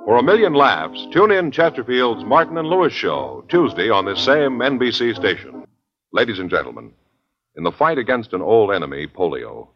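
A man reading an earnest, scripted 1950s radio announcement, on a dull old broadcast recording. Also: on the low side.

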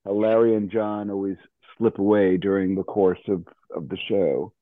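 A middle-aged or older American man chatting casually, halting and amused as he talks. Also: deep voice, fairly even intonation, no room sound. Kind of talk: casual chat. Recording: thin phone-like call audio.

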